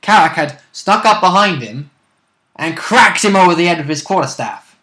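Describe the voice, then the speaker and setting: A man with a fairly high, bright and forward voice co-hosts a gaming show. He talks casually and analytically, reasoning through rules as he goes. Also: animated, normal speed. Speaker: co-host of a gaming vidcast. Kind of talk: casual analytical chat about game rules.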